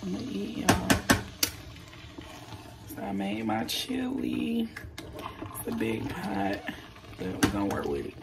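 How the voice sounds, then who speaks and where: A young woman with a hoarse, raspy voice chatting casually to viewers while she cooks in her home kitchen. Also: on the low side, wildly swooping pitch, a little slow, long pauses, very noisy. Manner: chatty, talks straight to viewers. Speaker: vlogger. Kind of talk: casual cooking vlog. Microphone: phone camera held close.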